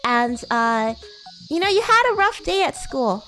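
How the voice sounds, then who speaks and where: A woman voicing a bratty, flustered demon schoolgirl who is secretly fond, teasing and halting, in an anime-like livestream roleplay. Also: sits high, big pitch swings, bright, forceful tone, faint music behind, full character voice, rough recording. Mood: grudgingly caring. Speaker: VTuber streamer.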